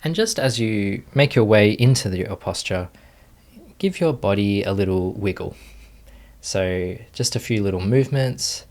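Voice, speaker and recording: Man, lowish voice, meditation teacher, gentle instructions, calm, long pauses.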